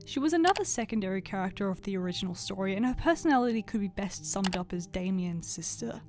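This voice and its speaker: A young woman with a mid-pitched voice talks through scripted commentary in a casual way. Her delivery is chatty and informal, with a self-deprecating streak, like an online artist presenting their own work. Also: expressive, fast talker, darkish tone, faint music behind. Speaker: artist and video creator.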